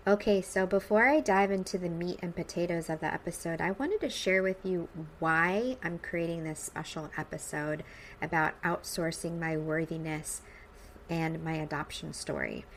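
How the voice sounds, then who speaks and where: Woman, 40s, American accent, lower voice. Upbeat, informal podcast host; some background noise. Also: moderate pace. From United States, suburban Midwest. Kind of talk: personal podcast monologue.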